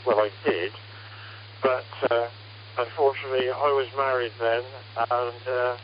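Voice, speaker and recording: A man's voice: medium pitch, fairly even intonation, measured pace. An older man with a British accent chatting casually as an amateur radio operator over a radio link. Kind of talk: casual radio contact chat. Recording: muffled, noisy, humming ham radio transmission. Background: radio static and hum.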